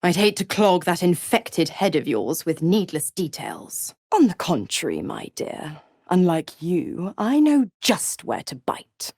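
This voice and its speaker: A woman's voice: on the low side, very animated, unhurried, darkish tone, breathy edge, no echo, studio quality, exaggerated voice. An actress voicing a seductive, cruel and smug female devil in a video game, with a teasing, condescending, theatrical drawl. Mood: smooth, scheming. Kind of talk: video game character voice acting.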